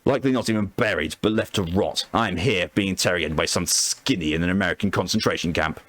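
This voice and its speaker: A man voicing a bitter, defiant Soviet soldier held prisoner, speaking in a formal, halting, accusatory way from a written transcript. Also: sits low, fairly bright tone, no room sound.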